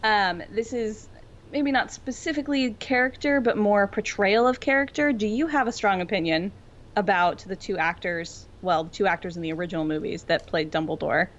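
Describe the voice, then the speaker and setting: A woman's voice: medium pitch, normal speed, lo-fi sound. A woman co-hosting a casual fan discussion, talking in a rambling, tangent-prone way peppered with "like". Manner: rambling, tangential, filler-heavy with frequent "like". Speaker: podcast co-host.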